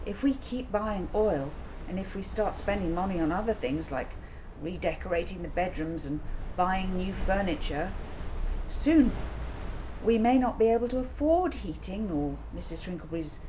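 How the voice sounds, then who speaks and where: A woman's voice: lowish voice, noisy background. A woman voicing a thoughtful young girl in a children's story, speaking in an earnest, fretting manner.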